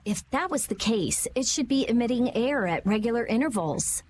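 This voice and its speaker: A woman's voice: fairly high pitch, animated, normal speed, a little mellow, slight background noise, close to an everyday voice. An actress playing a brainy, brusque teenage girl researcher in a video game. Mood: pondering. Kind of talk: animated video game acting.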